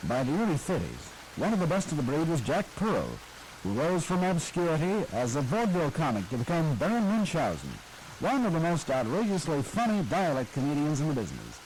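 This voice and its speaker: A man's voice: on the high side, a little mellow, a little hiss or hum, rough recording. A man narrating a documentary on old radio, introducing clips.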